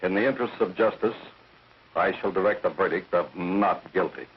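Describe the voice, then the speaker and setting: An actor playing a stern judge in a 1930s film, speaking in a formal, measured way. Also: male voice, deep voice, slightly rough. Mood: stern, official. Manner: formal, measured, pronouncing rulings. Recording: dull 1930s film soundtrack.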